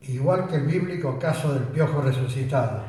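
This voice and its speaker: A man's voice: mid-range voice, moderate pace, close to an everyday voice. A man playing a boastful rustic sergeant. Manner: deadpan, rambling mock-solemn storytelling. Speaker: radio drama actor. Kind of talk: comic radio play acting. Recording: very echoey room, voice far from mic.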